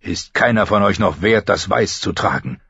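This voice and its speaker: A man's voice: low voice, animated, moderate pace, creaky, fairly bright tone, slightly rough, no room sound, highs cut off, full character voice. A man voicing a proud, scornful old knight in an audiobook. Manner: contemptuous, stern.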